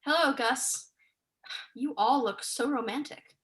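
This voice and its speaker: A young woman student actor playing a sharp, unsentimental, sceptical scholar in a staged play reading. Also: high voice, slow. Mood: dry, dismissive. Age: young adult.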